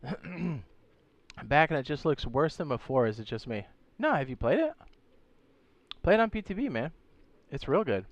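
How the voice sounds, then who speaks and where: A man streaming a game, chatting casually and reading viewer comments, hoarse with a sore throat and losing his voice, often clearing his throat. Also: fairly high pitch, sing-song, moderate pace, pauses often, no echo, highs cut off. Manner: laid-back, slangy, frequent throat clearing.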